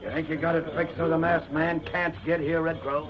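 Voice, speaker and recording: An actor playing a sly, greedy American outlaw with cowboy slang in a 1930s radio western. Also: male voice, fairly high pitch, flat delivery, fairly quick, over music, close to an everyday voice. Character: sly, greedy Wild West outlaw schemer. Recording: noisy, muffled 1930s radio recording.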